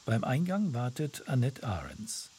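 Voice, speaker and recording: A man reading scripted documentary narration in an even, measured way. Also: mid-range voice, slight background noise.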